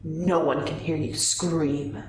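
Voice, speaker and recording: A woman's voice: deep voice, fairly even intonation, takes it slowly, a crowd in the background. Woman playing an enraged male villain, echoey. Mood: threatening. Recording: roomy echo, distant mic, faint noise.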